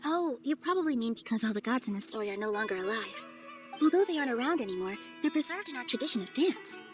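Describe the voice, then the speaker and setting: Woman as a gentle young dancer girl in a game, sincere, soft n earnest, lively intonation, faint music. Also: on the high side, fast, natural voice. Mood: wistful, storytelling. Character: gentle, graceful young female festival dancer, sincere and kind. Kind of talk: video game character voice acting.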